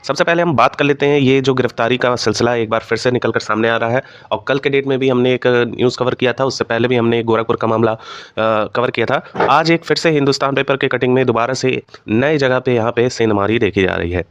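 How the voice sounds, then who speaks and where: A man's voice: mid-range voice, brisk, clear, resonant tone, no echo, muffled recording. A man hosting an informal exam news update, explaining things to students and mixing in English terms. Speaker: host of an exam news channel.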